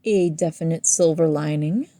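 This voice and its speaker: A woman's voice: sits low, moderate pace, warm tone, no echo, clean studio sound, natural voice. A woman playing an easygoing, lovestruck space crew member in an audio comedy, casual and agreeable. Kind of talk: audio comedy drama dialogue.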